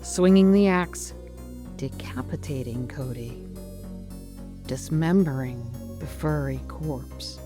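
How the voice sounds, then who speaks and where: A narrator telling a scripted slasher horror story in a deliberate, menacing, dramatic way with theatrical pauses. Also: mid-range voice, over loud music.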